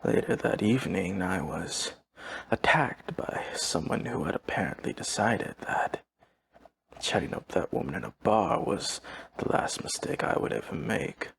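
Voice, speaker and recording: A courteous old vampire servant speaks at an unhurried pace with a heavy fry in his low voice. His delivery is formal and drained of emotion, as if reporting to a guest in an audio roleplay. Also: heavily acted. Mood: flat, reminiscing. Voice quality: gravelly, breathy husk. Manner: formal, measured, deadpan, no contractions. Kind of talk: audio roleplay acting, addressing the listener.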